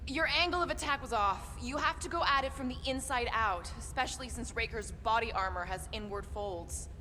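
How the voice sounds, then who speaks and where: An actress playing a tough, practical former assassin in a video game, firm and matter-of-fact. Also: female voice, on the high side, a little fast, sharp tone, a little hiss or hum. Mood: instructive.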